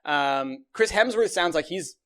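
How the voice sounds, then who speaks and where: A man's voice: fairly high pitch, lively intonation, a little slow, a bit bright. A man co-hosting a podcast, explaining things informally in casual group chat. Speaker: podcast co-host.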